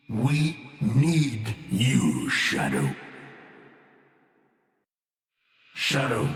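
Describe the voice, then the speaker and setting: A man's voice: mid-range voice, full character voice. A male actor playing an ancient, brooding alien war-being in a video game, grave and scornful, with solemn, portentous delivery drenched in reverb. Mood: urgent command. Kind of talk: theatrical scripted video game voice acting. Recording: cavernous long reverb, faint background noise.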